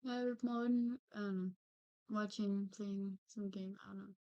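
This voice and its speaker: A woman's voice: medium pitch, fairly even intonation, a little slow, clean tone, highs cut off. A woman chatting casually with her partner during his stream, giggly and teasing. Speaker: streamer's girlfriend. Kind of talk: casual off-camera chat.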